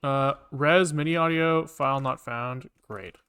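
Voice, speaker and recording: A man's voice: mid-range voice, measured pace, bright, forceful tone, smooth. A man live-streaming a programming session, talking casually to himself with informal, sweary muttering as he works things out. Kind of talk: casual thinking aloud while coding.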